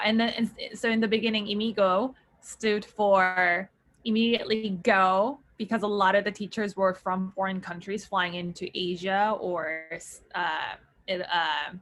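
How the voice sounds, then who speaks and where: A woman's voice: mid-range voice, steady tone, unhurried, fairly bright tone. A young woman from South Korea, a startup founder answering interview questions in a chatty way with many fillers and restarts.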